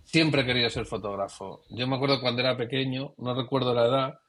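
Man, middle-aged, from Spain, photographer guest, reflective storyteller, bright tone. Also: mid-range voice, steady tone, fast talker. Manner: reflective, storytelling, trailing restarts. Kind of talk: conversational interview.

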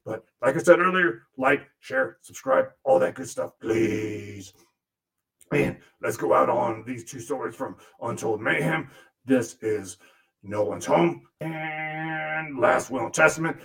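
A man's voice: a little high, lively intonation, slow, creaky, a bit bright, heavily acted. A man voicing a crude, sarcastic male demon puppet who grumbles and swears through a comic monologue.

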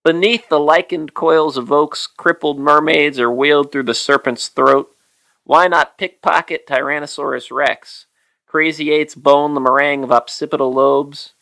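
A man hosting a podcast, chatting easily with chuckles and reading a poem of his own slowly. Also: fairly high pitch, steady tone, vocal fry, bright, forceful tone. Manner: easygoing, chuckling, reads poem slowly and deliberately. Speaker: podcast host. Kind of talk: casual podcast chat and poetry reading.

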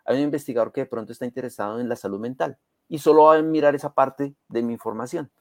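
A man's voice: mid-range voice, fast, a little mellow, dry recording, studio quality. A native Spanish-speaking man explaining things conversationally as an interview guest, emphatic and fond of rhetorical check questions. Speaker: interview guest, community health program advocate.

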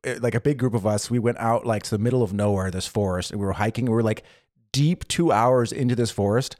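A young man with a slightly low voice co-hosts a relaxed chat podcast. He tells stories with energy and slips into quick impressions of what other people said. The sound is dry and close to the mic. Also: no room sound. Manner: animated storyteller, acts out quotes. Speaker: podcast co-host. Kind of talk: casual chat. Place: living in Germany.

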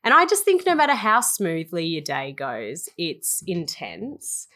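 A woman's voice: fairly low pitch, huge pitch swings, measured pace, bright, forceful tone. An Australian woman in her twenties, co-hosting a podcast in a chatty, rambling way and laughing easily. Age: 20s. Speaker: podcast co-host. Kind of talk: casual chat.

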